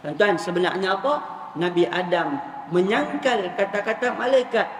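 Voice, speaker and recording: High-voiced Malaysian preacher in an echoey hall. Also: male voice, normal speed, a bit bright. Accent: northern Malaysian Malay. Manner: colloquial storytelling, acting out dialogue, repeating phrases for emphasis. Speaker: Islamic preacher. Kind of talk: sermon retelling religious stories to an audience. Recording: echoey hall, distant mic, faint noise.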